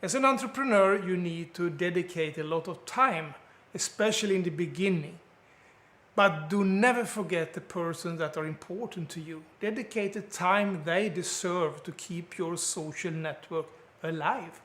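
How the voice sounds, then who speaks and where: Swedish-accented older man, higher voice. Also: fairly bright tone. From Sweden. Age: 70s. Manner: earnest, plain-spoken, slightly halting English. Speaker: online business vlogger. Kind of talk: scripted talk to camera.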